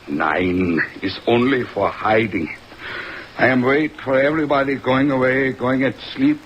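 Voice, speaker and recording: An actor playing a flustered, pompous European diamond dealer in an old radio drama, with a thick Germanic accent and fussy broken English. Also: male voice, medium pitch, unhurried, close to an everyday voice. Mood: plaintive, stubborn. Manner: broken grammar, fussy, protesting. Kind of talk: old-time radio detective drama acting. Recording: dull, noisy vintage radio recording, steady rain.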